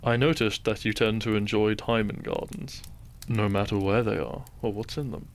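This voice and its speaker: A man playing a gentle, devoted doctor and groom in a romantic audio roleplay, soft-spoken and measured. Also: lowish voice, steady tone, lightly acted. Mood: reflective, soft.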